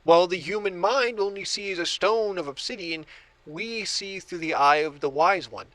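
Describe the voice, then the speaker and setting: Man acting a high-voiced, obsessive book collector. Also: moderate pace, a bit bright, dry recording, low-quality recording. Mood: intrigued, obsessive. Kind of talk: audio drama monologue and dialogue.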